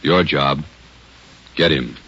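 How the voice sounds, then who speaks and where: A male announcer giving solemn, deliberate, authoritative narration in a dramatic 1950s radio style. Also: very deep voice. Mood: grave, stern. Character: grave, authoritative crime show announcer. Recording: muffled old radio, loud brass music.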